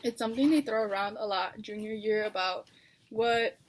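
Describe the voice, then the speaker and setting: A woman's voice: mid-range voice, normal speed, a little hiss or hum. A young woman student thinking aloud in a chatty way, with many filler words. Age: young adult. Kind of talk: casual personal account.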